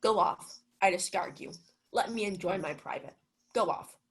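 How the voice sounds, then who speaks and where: A woman's voice: medium pitch, moderate pace, fairly bright tone, close to an everyday voice. A young woman playing a pompous, scornful male steward in a radio-style Shakespeare play, declaiming haughtily. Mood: haughty scorn. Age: young adult. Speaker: student actor.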